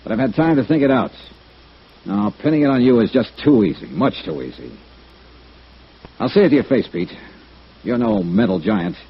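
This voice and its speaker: An actor playing a blunt, tough male insurance investigator, probing and brisk, in 1950s radio detective style. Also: medium pitch, animated, husky. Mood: stern, probing. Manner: blunt, probing, hard-boiled. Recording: muffled old radio broadcast recording.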